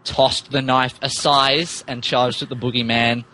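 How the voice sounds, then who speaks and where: A man's voice: mid-range voice, flat delivery, a little slow, pushed and bright, slight background noise. A man narrating a story in an amused, theatrical, mock-dramatic way, as a podcast co-host.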